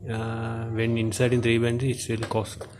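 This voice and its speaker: A man giving an unscripted product demonstration in halting, hesitant short phrases, as the presenter of a gadget teardown video. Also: medium pitch. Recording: clear steady background noise.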